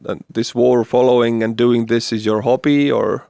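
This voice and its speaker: A man's voice: mid-range voice, steady tone, normal speed, gravelly, warm tone, no echo, studio quality. A man hosting a podcast interview, chatty and friendly, laughing often between questions. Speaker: podcast host. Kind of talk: casual interview conversation.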